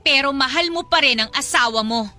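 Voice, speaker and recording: An actress playing a hurt, defiant young mistress in an emotional Tagalog audio drama, pleading and confrontational. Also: female voice, sits high, brisk, sharp tone, soft background music. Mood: bitter, hurt.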